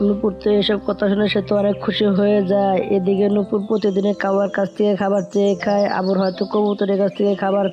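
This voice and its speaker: A woman's voice: medium pitch, monotone, fast talker, few pauses, highs cut off, over music. A woman narrating a scripted children's story as the storyteller.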